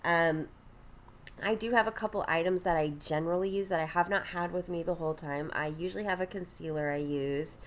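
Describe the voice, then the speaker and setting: A woman's voice: on the low side, brisk. A woman with a congested, stuffy nasal voice, chatting casually while she does her makeup and rambles with lots of ums. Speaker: ministry host. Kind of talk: casual get-ready-with-me chat.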